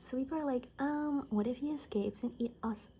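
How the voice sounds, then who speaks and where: Casting: a woman to play a chatty apocalypse confidante for ASMR roleplay. Mellow, soft, breathy voice sliding into whispers, loose rambling delivery, slight ambient noise. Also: high-pitched, brisk. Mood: conspiratorial, gossipy. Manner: rambling, casual, gossipy, full of filler words. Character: chatty young woman in zombie apocalypse compound, gossipy friend.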